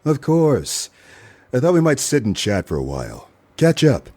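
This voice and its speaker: A man's voice: medium pitch, huge pitch swings, moderate pace, darkish tone. An actor playing a wry, wisecracking hard-boiled male detective in a noir radio play, both narrating and in dialogue. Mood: teasing, friendly.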